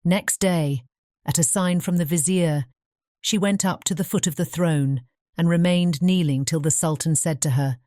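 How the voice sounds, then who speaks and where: A woman narrating a bedtime fairy tale as a measured, careful scripted storybook reading. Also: fairly low pitch, mellow, dry recording, studio quality.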